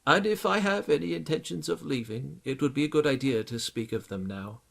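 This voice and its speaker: A man voicing a dutiful, concerned butler in an audiobook, speaking in a formal, measured and politely reserved way. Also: on the high side, lively intonation, vocal fry, voice pushed up. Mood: resigned, formal. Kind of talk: audiobook character acting.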